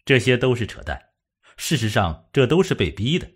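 A man narrating a suspense novel as an audiobook, in a measured, dramatic storytelling manner. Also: medium pitch, sing-song, breathy edge.

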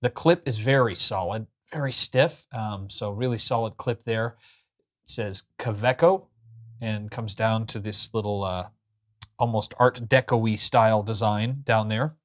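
A man's voice: on the low side, a little slow. A man in his thirties giving a casual, chatty product review to camera, explaining as he goes, recorded on a lapel mic indoors. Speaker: host of a pen review show. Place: small furnished room indoors.

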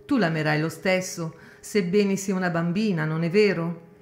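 An actress playing a gentle young mother in a radio drama, pleading and dignified. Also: lowish voice, steady tone, normal speed, faint music behind, lightly acted. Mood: tender.

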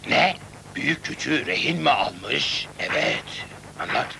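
A man voicing a slow-witted, boastful giant in a Turkish-dubbed children's cartoon, slow on the uptake and repeating himself. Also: mid-range voice, sing-song, creaky, sharp tone, slight background noise, full character voice. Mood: puzzled.

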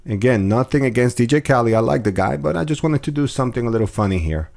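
A man calmly walking through audio editing steps in a screen-recorded tutorial, with casual fillers. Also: mid-range voice, fast talker, creaky. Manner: relaxed step-by-step explaining with fillers. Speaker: music production tutor.

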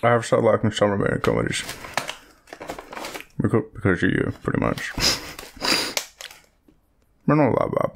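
A man playing a shy, gravelly, deep-voiced Australian friend. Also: huge pitch swings, a little hiss or hum, full character voice. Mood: bashful, relaxed. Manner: hesitant, halting, chuckling, stammering. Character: shy, easygoing young man smitten with his friend. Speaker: audio roleplay performer. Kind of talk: intimate boyfriend-style audio roleplay.

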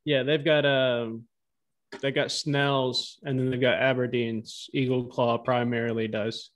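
A man's voice: medium pitch, little pitch movement, a little slow. An American man co-hosting a podcast in casual, conversational talk. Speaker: fishing podcast co-host. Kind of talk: casual chat. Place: indoor room, on a video call.

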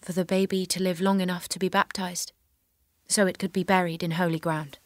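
A woman's voice: on the low side, flat delivery, fairly quick, natural voice. A woman acting in an audio drama as a devout, determined young warrior maiden, earnest and resolute. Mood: solemn, reverent.

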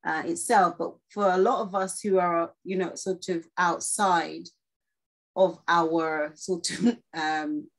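Lowish-voiced, tentative woman interviewer. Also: steady tone, takes it slowly. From born in Guyana. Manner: tentative, circling questions with hedges. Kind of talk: thoughtful casual interview conversation.

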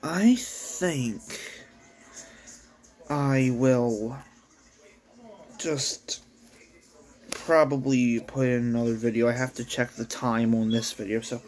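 A man reading a story aloud in a halting, casual way with sweary asides, like an amateur read-along. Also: mid-range voice, fairly even intonation, a little mellow. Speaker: amateur reader of own anime story.

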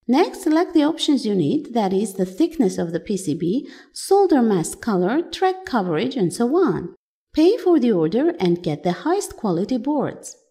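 A woman's voice: on the high side, mellow. A woman giving a scripted technical voice-over for an electronics tutorial, in plain, slightly stilted phrasing.

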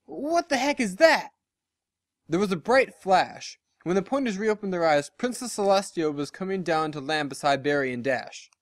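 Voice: medium pitch, animated, normal speed, few pauses, no echo, clean studio sound. A story reader giving a scripted narration of fiction. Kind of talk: scripted narration of fan fiction with character voices.

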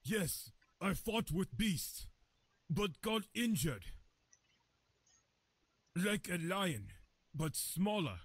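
A man playing a big, stoic jungle hunter in a visual novel game, halting and terse. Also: sits high, big pitch swings, breathy edge, heavily acted.

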